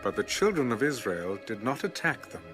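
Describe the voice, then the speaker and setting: Expressive male scripture narrator over music. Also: medium pitch, brisk.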